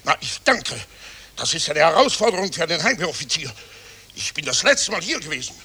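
A man's voice: on the high side, very animated, a little fast, sharp tone, husky, full character voice. An actor in a 1960 radio drama playing a snobbish middle-aged Viennese father and officer, scolding pompously and indignantly. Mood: outraged.

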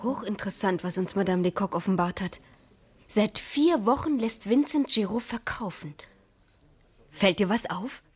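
A woman's voice: medium pitch, lively intonation, normal speed, slightly rough, soft background music. An actress playing a shrewd, dry-witted female investigator in a 1980s German radio crime drama. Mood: dry, musing. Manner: dry, probing.

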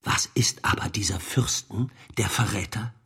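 An actor playing a fiery agitator, declaiming a revolutionary pamphlet in a theatrical, emphatic audio drama style. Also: male voice, fairly low pitch, normal speed, sharp tone, slightly rough, whispered, full character voice. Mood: accusing. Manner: theatrical, emphatic, widely swooping delivery.

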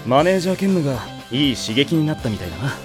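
A man playing a steady, no-nonsense senior high school boy in an anime audio drama. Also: very fast, soft background music, natural voice. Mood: dry, firm.

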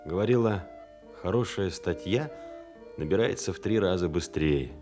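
Pensive man, deep voice, brooding reflective monologue over prominent music, Soviet radio drama. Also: lively intonation, normal speed. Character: pensive man haunted by echoes of war. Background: music behind the voice.

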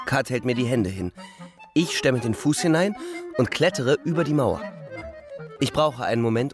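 An actor playing a young soldier who narrates a war radio play in terse, measured, reflective short sentences. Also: male voice, medium pitch, natural voice. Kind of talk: literary radio play narration, first person present tense. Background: music.

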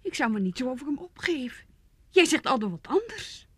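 An actress in a radio play playing a young, manipulative fiancée, pressing and coaxing. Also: female voice, sits high, very animated, a little fast, dry recording, studio quality. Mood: insistent, reproachful. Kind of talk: Dutch radio play acting.

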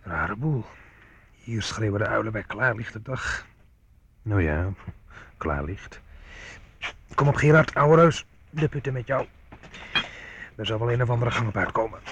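A man's voice: lowish voice, animated, unhurried, pauses often, a bit bright, a little hiss or hum. An actor playing an adventurous young nephew in a radio play, muttering to himself in short fragments as he explores. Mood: wry muttering.

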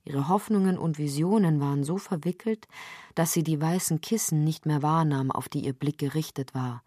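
A woman with a dark, husky voice narrates literary fiction. Her delivery is deliberate and measured, and the sound is very dry, as in a padded studio. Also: sits low, dry recording. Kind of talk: literary scripted narration, radio reading.

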